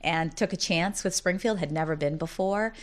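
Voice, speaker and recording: Low-voiced chatty woman in her forties. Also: moderate pace. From United States, Massachusetts. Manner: talkative, upbeat storyteller who often laughs while talking. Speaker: college dean. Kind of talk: casual interview conversation.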